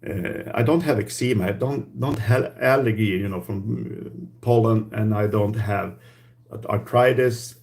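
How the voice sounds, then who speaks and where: Swedish guy hosting a live q&a, lowish voice, talks real slow and halting, hunting for english words. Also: creaky, darkish tone. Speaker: livestream host. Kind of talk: casual live stream Q&A.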